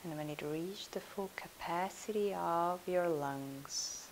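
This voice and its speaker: A woman leading a guided yoga nidra meditation as a teacher, in a soothing, hushed, unhurried manner. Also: on the low side, poor audio. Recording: steady hiss.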